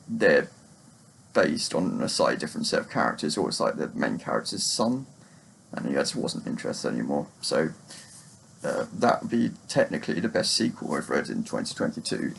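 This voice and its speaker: A man with a gravelly, husky voice, chatting casually about books in a rambling, hesitant, self-deprecating way. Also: sits low, slight background noise, rough recording. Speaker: book vlogger.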